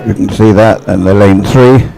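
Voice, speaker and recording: A man's voice: on the low side, fairly even intonation, normal speed, creaky, warm tone, rough recording. A man giving live race commentary, explaining things in a hesitant, unscripted way. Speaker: sports commentator.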